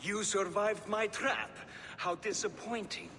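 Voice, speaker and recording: Gravelly, vengeful giant, echoing. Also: male voice, sits high, fairly even intonation, a little slow, noisy background. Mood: scornful. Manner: theatrical, mocking, declamatory. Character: vengeful giant builder, bitter and scornful. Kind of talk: dramatic video game cutscene acting. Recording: long reverberant echo. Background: music.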